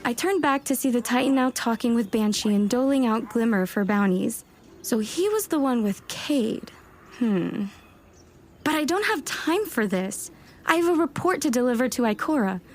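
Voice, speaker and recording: A woman's voice: on the high side, animated, normal speed, a little hiss or hum. A woman playing an eager, self-doubting warlock scholar in an audio drama, chatty and wry as she narrates her own thoughts. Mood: anxious. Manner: wry, chatty inner monologue with muttered self-talk. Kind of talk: first-person audio drama narration and dialogue.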